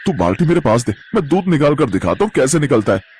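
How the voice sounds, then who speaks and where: A man's voice: mid-range voice, big pitch swings, quick pace, darkish tone, lightly acted. A man voicing a greedy, boastful, chubby city boy who complains brattily in a cartoon story.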